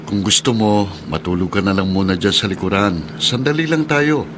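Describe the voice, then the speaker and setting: A man's voice: fairly low pitch, normal speed, natural voice. A man playing an honest, reassuring taxi driver and father figure in a natural radio-drama style. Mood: calm, reassuring. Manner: reassuring, calm. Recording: clear vehicle noise behind voice. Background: vehicle ambience.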